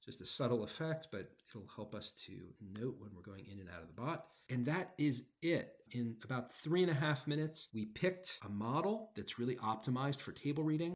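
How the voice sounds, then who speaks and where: A man presents a screen-recorded software tutorial. His mid-pitched voice rises and falls a lot as he explains each step, leaning on key words.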